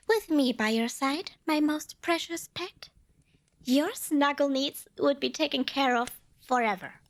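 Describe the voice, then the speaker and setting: A woman's voice: sits high, slow pace. A woman playing a cute, eager little demon who craves cuddles, wheedling playfully in a fantasy ASMR roleplay. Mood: gleeful, persuasive. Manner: playful wheedling salesmanship with flustered self-corrections. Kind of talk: scripted ASMR fantasy roleplay addressed to the listener.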